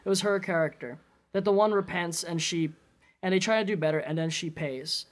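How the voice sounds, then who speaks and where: Fast-talking man in casual chat. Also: a little high. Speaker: film podcast co-host.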